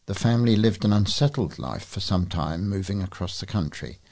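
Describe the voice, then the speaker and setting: Deep, husky male newsreader. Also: animated, moderate pace, a little mellow. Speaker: volunteer newsreader for a local talking newspaper. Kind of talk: scripted news reading.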